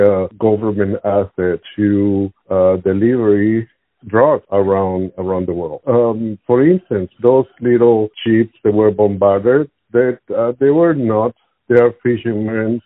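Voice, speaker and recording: Halting Venezuelan man on a phone-like line. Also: on the low side, no echo. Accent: Venezuelan Spanish. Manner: halting, searching for words, repeats himself. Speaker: interviewee. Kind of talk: spontaneous interview answers. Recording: tinny narrowband phone-like line.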